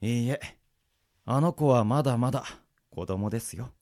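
A man playing an easygoing middle-aged swordsman mentor in a radio drama, moving between polite formality and gruff casual talk. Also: medium pitch, normal speed, mellow, no room sound, studio quality, close to an everyday voice. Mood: composed, courteous. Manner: switches between smooth polite formality and rough casual drawl. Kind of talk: anime-style radio drama acting.

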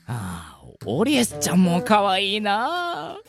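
A woman's voice: mid-range voice, wildly swooping pitch, slow pace, faint music behind, exaggerated voice. A woman playing a flippant, girl-crazy, easygoing young man in an anime-style radio drama. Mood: smitten, flippant.

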